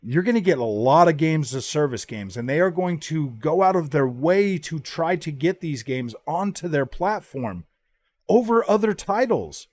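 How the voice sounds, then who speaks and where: A man's voice: a little high, expressive, normal speed, a little mellow. A man giving casual, opinionated gaming commentary, slangy and often repeating and restarting his phrases. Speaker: gaming YouTuber.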